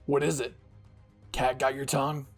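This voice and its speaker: A man's voice: mid-range voice, flat delivery, normal speed, faint music behind, close to an everyday voice. A man voicing a stern, intimidating military commander in a fan-fiction reading, demanding and menacing. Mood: menacing challenge. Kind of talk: dramatic audiobook fan-fiction acting.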